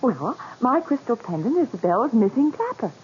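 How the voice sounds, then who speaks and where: An actress playing a headstrong, breezy doctor's wife in a 1940s radio mystery, teasing and sure of herself. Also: female voice, fairly high pitch, normal speed. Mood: eager, confident. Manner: animated, teasing, self-assured. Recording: dull, noisy old 1940s radio recording.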